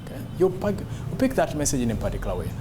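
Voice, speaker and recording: A man's voice: mid-range voice, sing-song, fast, background noise. A man giving an instructional talk as a public speaking coach, emphatic and demonstrative, repeating key phrases.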